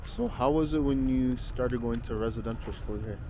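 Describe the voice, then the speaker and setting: A man's voice: medium pitch, moderate pace, warm tone. A man interviewing someone outdoors on the street, asking brief, encouraging questions. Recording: noisy street traffic. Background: passing cars.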